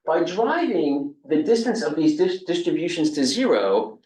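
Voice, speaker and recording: A man's voice: a little high, big pitch swings, a little slow, darkish tone. New York man lecturing in an echoey room. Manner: loose and conversational, with many fillers and restarts. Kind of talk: academic seminar talk. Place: seminar room. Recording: echoey room, speaker far from the mic.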